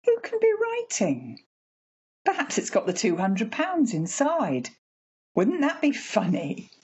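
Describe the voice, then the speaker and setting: A woman playing a fussy, chatty working-class mother in a radio play, homely and expressive. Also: on the high side, slow, slightly rough, lo-fi sound, exaggerated voice. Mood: curious, chatty.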